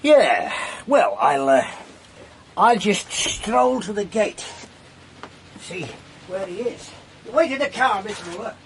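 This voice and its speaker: Brisk, lively police detective. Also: male voice, high voice, a little hiss or hum, full character voice. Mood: casual, guarded. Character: brisk, matey male police detective inspector. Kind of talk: radio drama acting.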